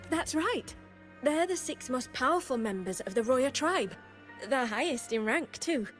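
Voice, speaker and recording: Chatty young student, high voice. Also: female voice, expressive, a little slow, faint music behind. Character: cheerful young woman student.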